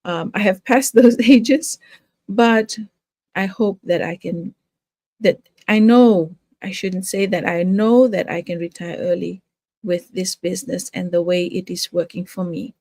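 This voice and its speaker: A woman's voice: on the low side, steady tone, a little slow, a little mellow, dry recording. An older woman from Fiji talking casually to her viewers about her home business, hesitant, with many fillers and restarts. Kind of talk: casual talk to camera.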